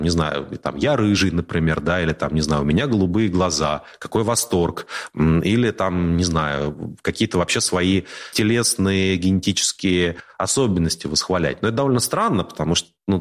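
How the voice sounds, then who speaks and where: A man, a newspaper editor, giving ironic, hesitant commentary full of fillers. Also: deep voice. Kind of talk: online commentary.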